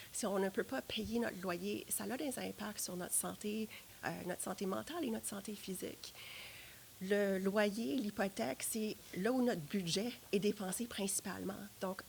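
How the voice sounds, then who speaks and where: Gravelly Franco-Ontarian woman candidate. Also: medium pitch, a little hiss or hum. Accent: Franco-Ontarian French. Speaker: election candidate in a debate. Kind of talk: formal debate statements. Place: TV studio.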